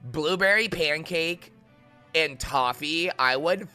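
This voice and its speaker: Bright-voiced New England streamer, chatty. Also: male voice, a little high, takes it slowly, faint music behind. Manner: chatty, profane, playful, camp banter. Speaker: streamer playing a video game. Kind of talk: casual livestream chat with viewers.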